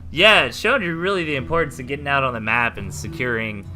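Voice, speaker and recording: A man's voice: medium pitch, fast talker, music in the background. A man hosting a live esports replay cast, giving chatty play-by-play with lots of fillers. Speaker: host caster. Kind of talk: live esports game commentary.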